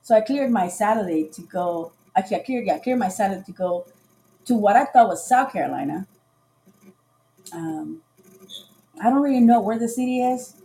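Mellow-voiced chatty woman from Costa Rica. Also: mid-range voice, slight background noise, low-quality recording. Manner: chatty storyteller who acts out quoted dialogue. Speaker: podcast guest. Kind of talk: casual chat on a livestream.

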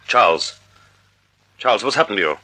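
An actor playing a suave, wry gentleman sleuth with a dramatic delivery in a vintage radio mystery. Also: fairly low pitch, lively intonation, normal speed, no echo, low-quality recording. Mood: urgent. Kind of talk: 1940s radio acting. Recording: old radio broadcast recording.

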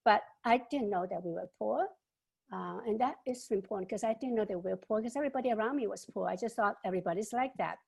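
Older woman with gravelly voice. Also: mid-range voice, a little mellow. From China. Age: 70s. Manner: halting, with many fillers and repeated words. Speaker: interviewee. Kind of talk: reflective life-story interview answer.

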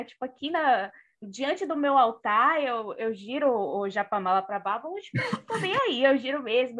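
Effusive Brazilian woman on a muffled call. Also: fairly high pitch. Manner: effusive, informal, animated storytelling. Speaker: panelist on a live online discussion show. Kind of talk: casual chat, closing remarks.

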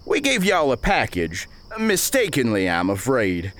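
A man's voice: mid-range voice, huge pitch swings, a little slow, a bit bright, voice pushed up. A man voicing a pompous, long-winded older banker on the frontier, with flowery and ingratiating speech.

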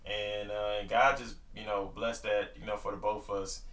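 A man's voice: lowish voice, flat delivery, moderate pace, a bit bright, roomy sound, recorded from a distance. A man in his early twenties, talking casually about his marriage and faith, with lots of fillers and loose grammar. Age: 20s. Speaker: podcast co-host. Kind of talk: casual faith-based couple talk.

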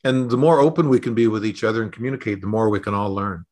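A middle-aged man talking conversationally in a podcast interview, explaining things thoughtfully and pausing to pick his words. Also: on the low side, darkish tone. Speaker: podcast host and resilience consultant.

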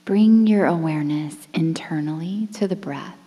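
A woman guiding a relaxation meditation in a soothing, breathy, near-whispered voice with measured phrases and pauses. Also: low voice, dull audio. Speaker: guided meditation instructor. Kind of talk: guided relaxation meditation, softly spoken. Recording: long reverberant hall-like echo.

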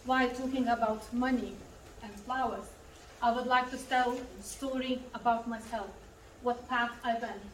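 A woman's voice: a little high, fairly even intonation, moderate pace. A woman with a Lithuanian accent giving a personal motivational speech to an audience. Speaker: conference speaker. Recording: echoey hall, distant mic, faint noise.

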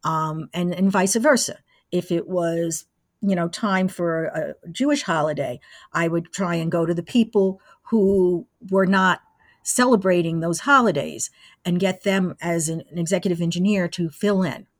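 Interview guest: older female, low-pitched, relaxed conversational storytelling, very little room sound. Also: moderate pace, no room sound, studio quality. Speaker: interview guest, former college radio engineer. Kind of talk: casual reminiscing.

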